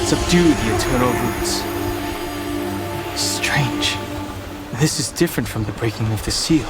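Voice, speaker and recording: An actor playing a reserved young dragon-descended warrior in a video game, with a formal, serious, measured delivery. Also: male voice, mid-range voice, natural voice. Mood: incantatory, then alert. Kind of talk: video game character voice acting. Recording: loud music behind the voice.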